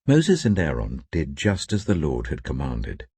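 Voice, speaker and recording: Very deep, husky man narrating scripture. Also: wildly swooping pitch, unhurried, warm tone, dry recording. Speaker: audio Bible narrator.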